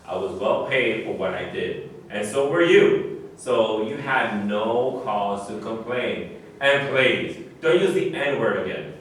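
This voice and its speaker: A man's voice: lowish voice, expressive, takes it slowly, a bit bright. Man acting a proud, defensive athlete, echoey and distant. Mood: indignant. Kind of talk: staged play reading. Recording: very echoey room, distant mic, faint noise.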